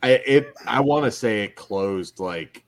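A man's voice: lowish voice, expressive, measured pace. A man co-hosting a podcast, telling anecdotes in casual wrestling review chat and often chuckling as he talks. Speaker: podcast host.

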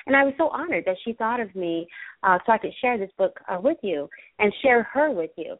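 A woman hosts a live talk show with bubbly, effusive enthusiasm. Her voice is a touch hoarse. The sound is thin and phone-like, with no room echo. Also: mid-range voice, animated, a little fast. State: slightly hoarse, recovering from a virus. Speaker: host. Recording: tinny phone-line sound.

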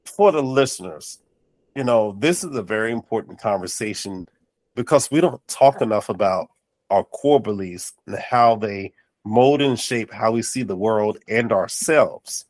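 A man's voice: fairly low pitch, expressive, measured pace, darkish tone, dry recording. A man hosting an interview podcast, sounding nasal and congested, with affirming and encouraging talk. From North Carolina. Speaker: podcast host. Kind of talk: casual interview chat.

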